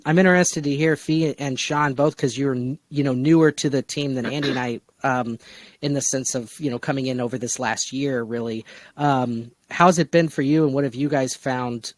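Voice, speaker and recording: A man hosting a relaxed discussion podcast, talking conversationally as he guides the group. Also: medium pitch, no room sound. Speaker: podcast host and producer. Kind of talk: casual discussion podcast chat.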